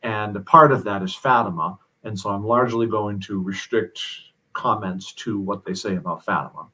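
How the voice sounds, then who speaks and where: A man who is a guest on a talk show, explaining things thoughtfully with many pauses and fillers. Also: on the low side, bright, forceful tone, slightly rough, poor audio. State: mild headache. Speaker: guest commentator. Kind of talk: casual discussion.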